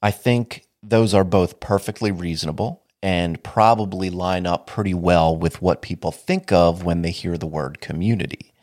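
A man's voice: low-pitched, steady tone, normal speed, hardly any pauses, darkish tone, no room sound, clean studio sound. A man hosting a podcast alone, talking casually and wryly, a bit sniffly from allergies. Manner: wry, casual and informal. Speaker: podcast host. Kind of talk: solo podcast monologue.

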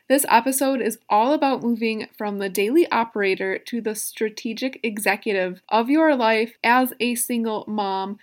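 Earnest woman in her thirties, podcasting. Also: a little high. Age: 30s. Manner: earnest, personal storytelling with emphatic asides. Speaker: podcast host. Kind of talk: motivational solo podcast.

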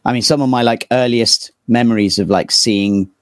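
A man's voice: mid-range voice, fairly even intonation, normal speed, no room sound, clean studio sound. A man in his thirties with a southern English accent, chatting casually as an interview guest in an informal, rambling, hesitant way. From England. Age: 30s. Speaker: interview guest, metal band vocalist. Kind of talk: casual chat.

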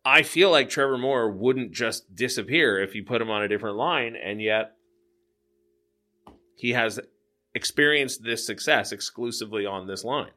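Bright-voiced male show host. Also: mid-range voice, normal speed. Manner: upbeat, laughs easily. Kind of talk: casual sports chat.

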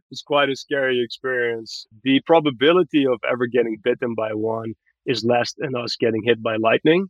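A man's voice: mid-range voice, no echo, dull audio. A man from Barbados chatting casually as a podcast guest, explaining things in an articulate way. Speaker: podcast guest, tech growth manager. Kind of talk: casual interview chat.

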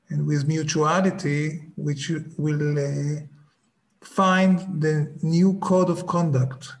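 A man, a Hebrew speaker, giving a slow, reflective talk and often stopping to search for words. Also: on the high side, a little mellow.

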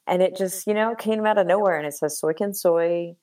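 Low-voiced reflective American woman. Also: a little fast, darkish tone, no echo, clean studio sound. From United States. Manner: reflective, many fillers, laughs often. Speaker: singer-songwriter guest. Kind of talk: casual conversational interview.

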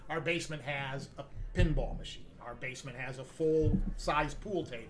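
A man in his fifties, a podcast guest who owns a coffee business, telling rambling anecdotes in a loud, carrying voice. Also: fairly high pitch, moderate pace, noisy background. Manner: loud voice that carries; rambling anecdotes with many restarts. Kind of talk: casual storytelling among friends. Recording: other men's chatter and laughter around him.

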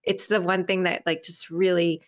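A middle-aged woman talking casually as an interview guest, telling stories in an animated, effusive way and acting out bits of dialogue. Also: on the low side, moderate pace, dry recording, clean studio sound. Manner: animated, effusive, re-enacts dialogue, laughs often. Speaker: podcast guest, author and creative.

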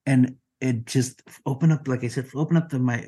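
A man's voice: mid-range voice, steady tone, a little fast, warm tone, slightly rough. A man from Mexico, a native Spanish speaker, talking earnestly and reflectively as a guest in a conversational podcast interview. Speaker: podcast guest, music collection digitizer.

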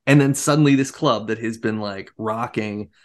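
A podcast co-host giving a casual movie recap, telling it as an animated story with plenty of filler words. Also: mid-range voice, moderate pace.

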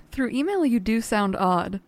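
Casting: female biographer, audio drama; slightly high voice, candid, wry, conversational, a bit hesitant. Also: animated, takes it slowly. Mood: curious, hesitant.

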